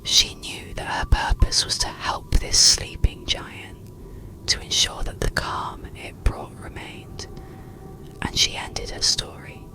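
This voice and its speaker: A storyteller whispering a bedtime story in a hushed, soothing, unhurried ASMR narration. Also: poor audio.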